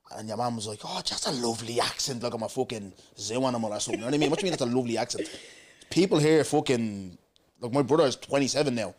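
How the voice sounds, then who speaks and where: A man's voice: medium pitch, darkish tone. A man, hosting a podcast in a rambling casual chat. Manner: rambling, repeats "do you get me". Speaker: podcast host.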